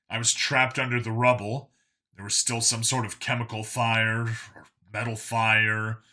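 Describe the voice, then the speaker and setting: A man's voice: fairly low pitch, bright, forceful tone. A man voicing a world-weary clone cop in an audio story, burdened by guilt and explaining things matter-of-factly.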